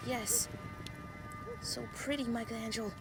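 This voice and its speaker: Squeaky high friendly lil tribe buddy, gentle, stilted words, loud music behind. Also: male voice, steady tone, measured pace. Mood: friendly, admiring.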